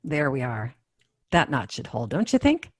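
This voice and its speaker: An actress playing a gentle, absent-minded 1950s wife in an old-time radio sitcom, with a sweet, fussing manner. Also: female voice, a bass voice, sing-song, moderate pace, slight background noise. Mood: sweetly fussing.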